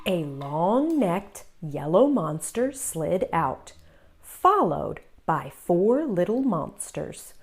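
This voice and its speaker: A woman hosting a children's read-aloud, telling the story in an expressive, deliberate way for young listeners. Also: fairly low pitch.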